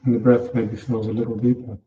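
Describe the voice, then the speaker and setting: A very soft-edged, dark-toned man guides a meditation in a calm, unhurried way, with short instructing phrases. His voice sits at an ordinary male pitch and sounds distant from the microphone. The recording is dull and echoes with the room. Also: slight background noise. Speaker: meditation teacher.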